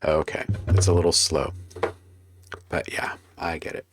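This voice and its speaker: A man's voice: sits low, steady tone, unhurried, noisy background. A man hosting a casual livestream while making beats, chatting with viewers and thinking aloud as he works. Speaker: livestream host and music producer.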